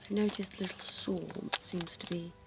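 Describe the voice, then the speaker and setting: A woman voicing a centuries-old, genteel female vampire dentist in an ASMR roleplay, speaking in a soft, posh, coaxing whisper. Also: medium pitch, level tone, brisk, over loud music, close to an everyday voice. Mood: soothing.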